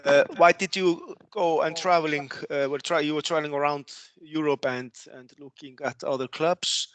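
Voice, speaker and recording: A man acting as co-interviewer, putting his questions haltingly. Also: on the high side, fairly even intonation, fairly bright tone.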